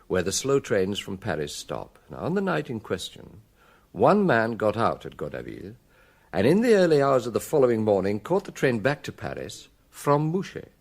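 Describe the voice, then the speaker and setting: An actor with a British accent playing a middle-aged French chief inspector in a radio drama, measured, courteous, gentle and shrewd. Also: male voice, fairly low pitch, wildly swooping pitch, gravelly, full character voice. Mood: explaining, grave.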